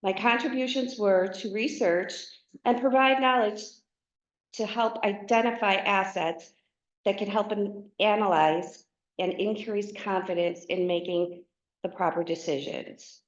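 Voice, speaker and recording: Soft-spoken woman in a roomy hall. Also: mid-range voice. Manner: soft-spoken, halting with fillers. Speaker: board candidate. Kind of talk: opening statement at a forum. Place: meeting hall. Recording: roomy, dull meeting recording.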